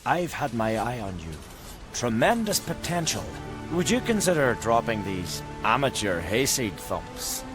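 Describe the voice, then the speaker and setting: Mid-pitched persuasive fight promoter. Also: male voice, very animated, a little slow. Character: smooth-talking fight promoter scouting a champion. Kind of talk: video game dialogue. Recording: music behind the voice. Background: game music.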